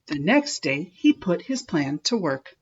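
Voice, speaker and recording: Slow-paced, animated woman storyteller. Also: on the low side, husky, dull audio. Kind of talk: expressive children's read-aloud narration.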